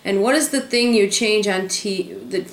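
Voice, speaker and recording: A woman's voice: mid-range voice, measured pace, vocal fry, roomy sound, rough recording. A woman in her thirties from Rhode Island, with a Rhode Island accent, chatting casually through an accent tag vlog with plenty of ums. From Rhode Island, USA. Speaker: vlogger.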